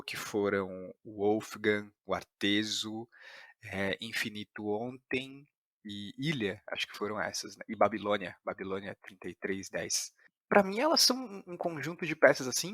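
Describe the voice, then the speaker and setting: A Brazilian man with a bright, crisp-edged tone interviews in a dry-sounding recording. He is casual and reflective, tentatively rewording his thoughts as he works toward a question. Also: mid-range voice, no room sound. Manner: tentative, rephrasing as he thinks aloud. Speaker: podcast host interviewer.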